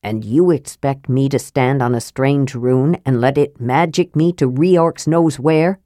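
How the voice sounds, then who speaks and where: A woman voicing a grumpy, skeptical old male dwarf who grumbles gruffly. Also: a bass voice, measured pace, dark tone, no echo, clean studio sound, pitched below the performer's own voice.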